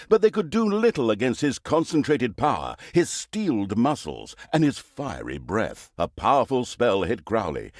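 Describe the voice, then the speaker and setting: A man's voice: medium pitch, no room sound, studio quality. A male actor playing a pompous, sardonic storyteller who narrates a fantasy video game with theatrical, mock-grandiose delivery.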